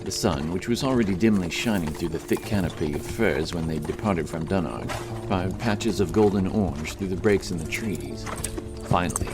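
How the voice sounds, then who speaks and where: A man's voice: sits low, normal speed. A man reading scripted fantasy narration as a dramatic audiobook narrator. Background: music.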